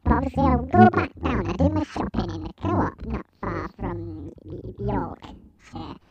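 A man's voice: high voice, huge pitch swings, normal speed, lo-fi sound, a loud crowd, voice pushed up, heavily acted. A man voicing a goofy male alien with a Yorkshire accent, rambling and silly. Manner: rambling, silly, wildly swooping voice.

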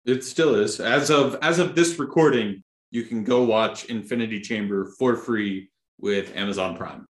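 A man's voice: on the low side, moderate pace. A man co-hosting a podcast in casual conversation, recorded with a roomy echo. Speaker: podcast co-host. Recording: roomy, echoing room sound.

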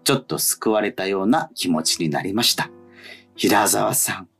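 Male radio host, deep husky voice, animated intonation, letter reading and chat. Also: moderate pace, faint music behind. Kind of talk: reading listener mail and chatting on a radio show.

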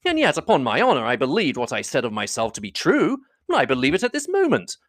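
A reader voicing an amiable, impulsive young gentleman in a classic novel audiobook, good-humoured and lightly self-mocking. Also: medium pitch, very animated, a little fast, dry recording, clean studio sound, voice pushed up, lightly acted. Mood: good-humoured protest.

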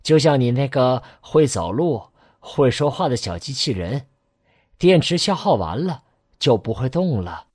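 A man's voice: medium pitch, big pitch swings, unhurried, a little mellow, breathy edge, no room sound, clean studio sound. A man playing a patient, tender father explaining things to his children in a science-fiction radio drama. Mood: gently explaining.

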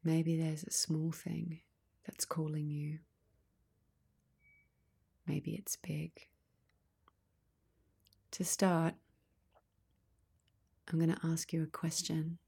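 Slow, hushed, husky woman guiding meditation. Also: sits low. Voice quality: soft, breathy, husky, near-whisper. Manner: calm, unhurried, long pauses between short phrases. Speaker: guided meditation leader.

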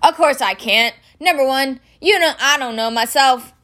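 A woman's voice: high voice, moderate pace, fairly bright tone, voice pushed up. Storybook role: hearty, bearded big man, proud groundskeeper, blurts things out in colloquial speech female reader acting him. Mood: guarded.

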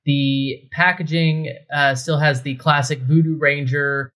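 A man's voice: a little high, fairly even intonation, measured pace, pushed and bright. A man co-hosting a casual beer podcast, opinionated and emphatic as he rants. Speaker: podcast co-host.

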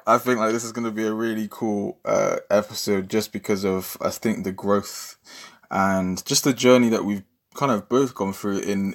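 A man hosts a podcast. His delivery is casual and informal. He rambles a little, circling back over phrases as he works out his thought. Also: lowish voice. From Bristol, England. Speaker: podcast host.

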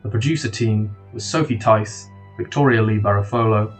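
A man's voice: fairly low pitch, moderate pace, faint music behind. A man reading scripted end credits for an audio drama as its announcer.

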